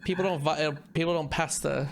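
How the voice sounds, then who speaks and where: A man's voice: a little high, fairly even intonation, fast, gravelly, a little hiss or hum. A man streaming a game, giving casual, slangy commentary with a teasing, joking manner.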